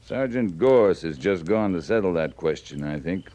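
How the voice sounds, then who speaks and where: A man acting a calm, wry, authoritative cavalry captain in a 1950s radio western, measured and understated. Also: deep voice. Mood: knowing, firm. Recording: noisy vintage radio recording.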